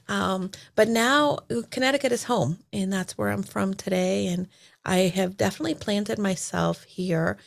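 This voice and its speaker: A woman's voice: medium pitch, vocal fry. A woman from El Salvador, a certified life coach, talking reflectively in a casual interview with many fillers and restarts.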